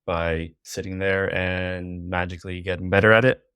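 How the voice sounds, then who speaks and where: A man's voice: low voice, level tone, moderate pace, a bit bright, smooth, no room sound. A man who works as a teacher, chatting as a podcast guest, hesitant and often repeating words and saying "you know". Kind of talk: casual podcast conversation.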